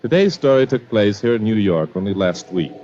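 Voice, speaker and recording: Casting: male actor as calm sleuth narrating his case, old-time radio delivery, muffled recording. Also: fairly low pitch, brisk, lightly acted. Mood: measured. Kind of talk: scripted 1940s radio narration.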